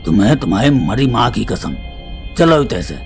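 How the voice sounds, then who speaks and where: A man's voice: fairly low pitch, big pitch swings, normal speed. Anxious middle-aged father played by a man, pleading. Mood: upset, urging. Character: frightened, pleading father of a grown daughter. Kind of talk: Hindi audio drama acting. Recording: music bed under the voice.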